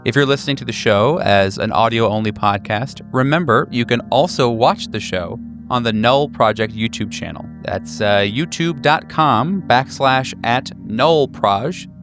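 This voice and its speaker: A man's voice: mid-range voice, measured pace, few pauses, a bit bright, faint music behind. A man hosting a tabletop role-playing podcast as game master, introducing the show and prompting players.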